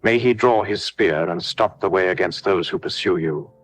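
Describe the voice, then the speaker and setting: A man leading a scripted devotional warfare prayer, speaking solemnly in measured, declarative short phrases. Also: low-pitched. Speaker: prayer leader.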